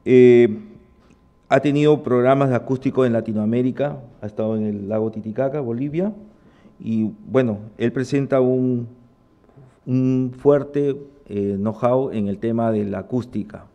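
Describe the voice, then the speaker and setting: A man's voice: mid-range voice, measured pace, warm tone. A man moderating a technical talk, reading out a speaker's background with occasional personal asides. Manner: reads a bio aloud with small asides. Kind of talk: introducing a speaker.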